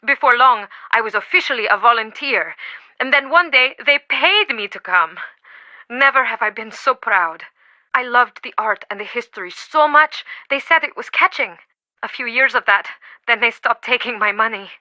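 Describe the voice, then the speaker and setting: A woman's voice: a little high, moderate pace, pushed and bright, no room sound. An actress playing an earnest, devoted and easily affronted woman museum curator in a video game, with dramatic, emphatic delivery. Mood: wounded, heartfelt.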